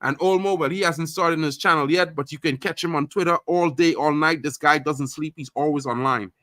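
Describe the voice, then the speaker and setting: Loud, bright-voiced man hosting a live stream. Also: fairly high pitch, brisk, no room sound, clean studio sound. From Trinidad. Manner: animated, loud, repeats fillers like "you know what I mean". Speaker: host. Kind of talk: casual live-stream chat.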